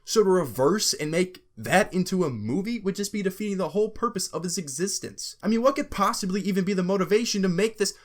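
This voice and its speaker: A man's voice: sits high, animated, fast talker, hardly any pauses. A man hosting a video essay, giving opinionated scripted commentary in an informal, emphatic way with sarcastic asides.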